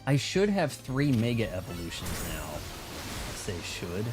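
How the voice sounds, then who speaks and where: A man's voice: on the low side, big pitch swings, unhurried, over music. An American man hosting a video game livestream, talking casually in a rambling, conversational way. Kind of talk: casual gaming stream chat and commentary.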